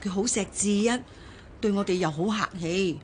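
A woman's voice: mid-range voice, moderate pace, close to an everyday voice. An actress with a Hong Kong accent playing a loyal, well-meaning household helper in a radio drama, chatty and a little fretful as she explains. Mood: sympathetic.

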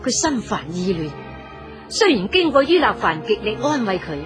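A woman narrating a radio drama as a storyteller, dramatic and expressive, addressing her listeners. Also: mid-range voice, moderate pace, over music.

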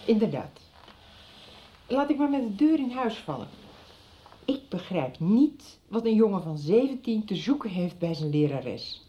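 A woman's voice: medium pitch, sing-song, unhurried, warm tone. An actress playing an anxious, disapproving mother in a radio drama, firm but hesitant and evasive. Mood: worried, reproachful. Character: anxious, disapproving middle-aged mother of teenage boy. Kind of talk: 1990s radio drama acting. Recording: slightly dull radio drama recording.

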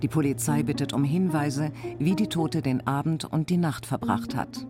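A man's voice: a little high, normal speed, over music, close to an everyday voice. An actor playing a male news announcer, reading crime reports formally in a radio drama. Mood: neutral, formal.

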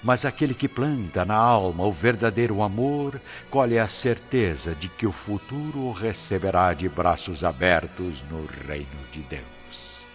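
Male radio soap narrator, grandiloquent, unhurried and slow, dull lo-fi sound. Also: lowish voice, a bit bright. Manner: solemn, grandiloquent. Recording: dull lo-fi audio with music behind.

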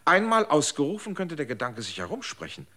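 An actor playing an anxious former rebel who pleads and asks searching questions in a radio drama. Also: male voice, fairly high pitch, wildly swooping pitch, brisk, sharp tone, exaggerated voice.

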